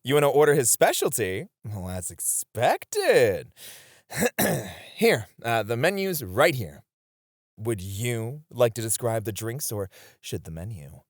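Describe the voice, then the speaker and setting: A man's voice: mid-range voice, sing-song, slow pace, no room sound, studio quality, full character voice. A man playing a friendly, easily flustered bartender and playful flirt in an ASMR roleplay, prone to stammering.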